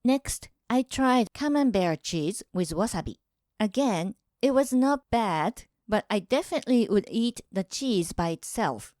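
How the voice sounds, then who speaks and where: A woman from Japan, hosting her own channel in calm, measured scripted narration. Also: medium pitch, no echo, clean studio sound. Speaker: host.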